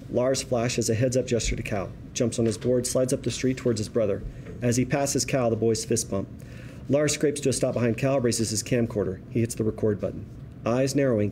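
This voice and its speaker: A man narrates screenplay action as the stage-direction reader. His voice is medium in pitch with a soft, dark tone. A little noise sits behind him.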